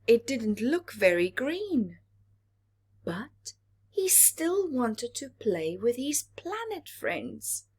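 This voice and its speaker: A woman reads a picture story to small children. She takes her time and moves her pitch up and down in an animated way, stepping out of the text to explain and ask questions. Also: breathy edge. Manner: expressive, asks the listeners questions. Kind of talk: story read-aloud with explanations for young children.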